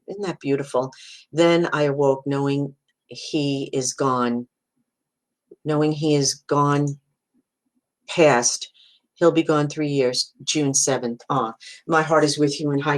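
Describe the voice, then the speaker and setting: A middle-aged woman with a Brooklyn accent, a psychic medium hosting a casual live video and greeting viewers. She talks in a warm, reassuring way, restarting phrases and leaning on "you know.". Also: low voice. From Brooklyn, New York. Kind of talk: casual live-stream talk, reading viewer comments.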